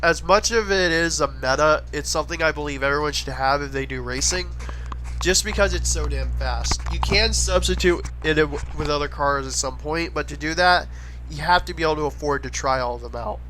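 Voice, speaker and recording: Bright-voiced man with a slight head cold. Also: on the high side, brisk, a little hiss or hum, poor audio. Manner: chatty, enthusiastic recommending. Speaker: gaming video creator. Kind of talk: casual gameplay commentary voice-over.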